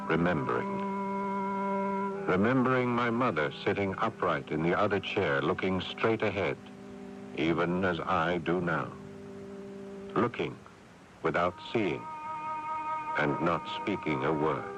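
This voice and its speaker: A man playing a weary, responsible brother in a radio drama, measured and reflective, exasperated with his family. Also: lively intonation, over loud music. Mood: brooding. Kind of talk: radio drama acting with first-person narration. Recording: noisy rumbling AM radio recording.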